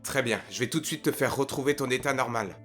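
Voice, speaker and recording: A man playing a blunt, exasperated teenage high school boy in a French anime fan dub, expressive and indignant. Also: fast talker, faint music behind, natural voice. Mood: serious, blunt.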